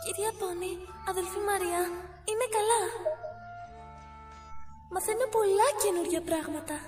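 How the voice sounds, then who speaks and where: A woman's voice: very high voice, darkish tone. A woman voicing a sweet, earnest young servant girl in an amateur anime dub, wide-eyed and polite. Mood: brave, hopeful. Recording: roomy, distant mic, music behind.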